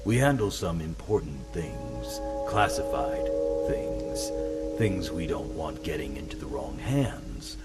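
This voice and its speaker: A menacing senior scientist acted by a man speaks from very low in his range, with wide swings of intonation. He is slow and condescending, soothing on the surface as an interrogator in a horror series. Loud music plays under him. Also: very animated, exaggerated voice. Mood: menacing, accusing. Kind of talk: horror web series acting.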